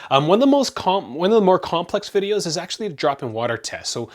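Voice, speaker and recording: A man's voice: high-pitched, sing-song, fast talker, a little mellow. A young man from Canada, hosting his own tech review channel, talking casually to camera with lots of fillers and self-corrections. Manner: chatty, rambling, frequent self-corrections and fillers.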